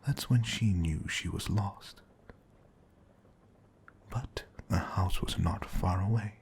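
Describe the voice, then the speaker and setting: A man as a soothing butler storyteller reads a fairy tale in a very deep, creaky voice that drops into whispering. The delivery is breathy and unhurried, made for sleep. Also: a little mellow, full character voice.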